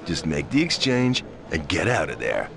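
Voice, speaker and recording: An actor playing a calm, controlling criminal fixer who gives clipped instructions in a video game. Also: male voice, rumbling low, moderate pace, gravelly, a crowd in the background, full character voice. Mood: calm, firm. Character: calm, controlling male criminal handing out jobs. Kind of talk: video game cutscene acting.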